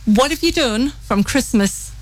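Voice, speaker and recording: A woman's voice: on the high side, expressive, unhurried, soft background music, poor audio. A woman hosting a casual interview, chatty and enthusiastic, often restarting her questions. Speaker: radio host.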